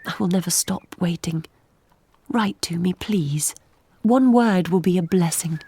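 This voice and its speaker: An actress playing a tender, yearning young gentlewoman, reading her letter softly and gently in an audio drama. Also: on the low side, measured pace, no room sound. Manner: soft, gentle, breathy at times.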